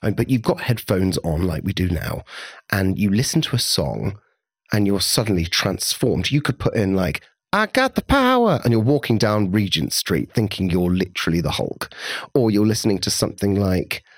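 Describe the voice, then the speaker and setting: A man's voice: sits low, lively intonation, normal speed, husky, no echo, clean studio sound. A British man co-hosting a podcast in casual chat, with a theatrical, camp turn of phrase. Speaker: podcast co-host.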